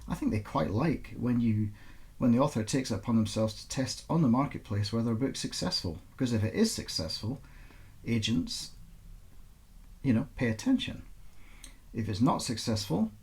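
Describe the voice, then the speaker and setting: A man with a middling pitch and a clearly creaky, gravelly grain. He speaks as a writer presenting his own work, moving between informal talk and expressive storytelling. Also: normal speed. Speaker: author reading own novel. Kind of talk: informal introduction, then expressive audiobook-style narration.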